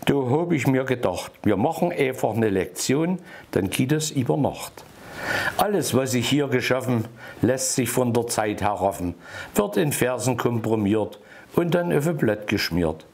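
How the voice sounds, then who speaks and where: A man in his sixties with an Erzgebirge Saxon accent, reciting a humorous dialect poem in rhythmic rhyme with a gravelly, husky voice. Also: mid-range voice, animated, a little slow, darkish tone. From Erzgebirge, Germany. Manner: rhythmic, rhyming delivery read from paper. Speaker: dialect poet. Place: small indoor room by a wood stove.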